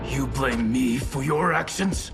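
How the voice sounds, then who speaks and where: Gravelly, stern warrior. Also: male voice, medium pitch, slow, music in the background. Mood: indignant. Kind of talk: video game cutscene acting.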